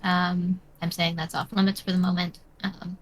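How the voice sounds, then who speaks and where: A woman's voice: lowish voice, little pitch movement, moderate pace, a little hiss or hum. A woman running a tabletop role-playing game as game master, explaining rules and describing scenes in a matter-of-fact way.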